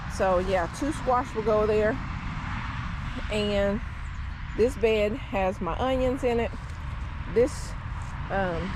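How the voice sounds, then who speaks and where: A woman with a Southern US accent, chatting in a relaxed, tired way as she tours her backyard garden in the evening. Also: medium pitch. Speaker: gardening vlogger. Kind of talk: casual garden tour. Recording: outdoor recording with clear background noise. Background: outdoor ambience with traffic.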